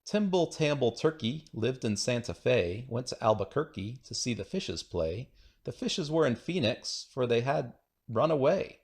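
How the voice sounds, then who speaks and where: A man's voice: medium pitch, sing-song, measured pace. A man reading children's rhyming poems aloud in a playful, singsong, rhythmic way. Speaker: children's book reader.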